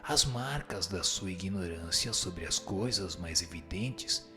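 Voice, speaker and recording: A man playing a stern, wise spirit teacher in a radio drama, speaking formal, measured with grave authority. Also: low voice, expressive, over music. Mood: grave admonishing. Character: solemn, stern, wise male spirit teacher answering questions. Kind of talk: scripted radio drama, didactic pronouncements.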